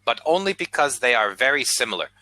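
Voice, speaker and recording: An American man, an online English tutor, chatting patiently on a video call and speaking slowly and clearly. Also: mid-range voice, sing-song, pushed and bright. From United States. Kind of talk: casual conversation lesson.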